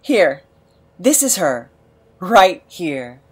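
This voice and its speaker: A woman playing an awkward, emotional misfit in an audio drama, hesitant and stammering, quick to put themselves down. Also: on the low side, big pitch swings, slightly rough, full character voice. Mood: nervous, sheepish. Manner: hesitant, stammering, self-deprecating. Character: awkward, emotional, rambling misfit named Nap.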